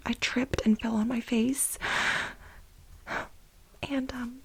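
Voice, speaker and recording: A woman playing a flustered, aggrieved female assistant in a soft-spoken ASMR role play, halting and dramatic, repeating herself for emphasis in a breathy whisper. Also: sits high, a little hiss or hum, exaggerated voice. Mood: hurt, flustered.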